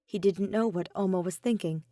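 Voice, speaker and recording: A woman's voice: medium pitch, moderate pace, dark tone, dry recording, clean studio sound. A woman narrating a piece of fan fiction as a scripted audio reading. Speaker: podfic narrator.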